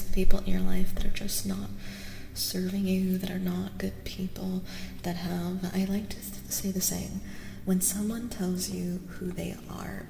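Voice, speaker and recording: A woman speaking in a soft, gentle ASMR style as she chats casually with livestream viewers, often dropping into whispers. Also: medium pitch, vocal fry, echoey room, long reverb tail, slight background noise. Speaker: livestreamer giving tarot readings.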